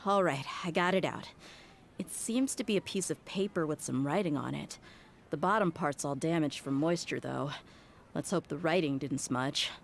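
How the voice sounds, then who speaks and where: Frank, low-voiced young mercenary. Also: female voice, normal speed, gravelly, slight background noise. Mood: curious. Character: tough, frank young desert mercenary woman, warm-hearted. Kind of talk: video game dialogue acting. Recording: game music behind the voice.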